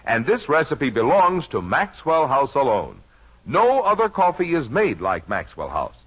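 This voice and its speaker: Animated American radio pitchman, muffled sound. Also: a little slow, slightly rough. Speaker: commercial announcer. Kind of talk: 1950s radio ad read, smooth-talking pitch. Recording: muffled old radio recording.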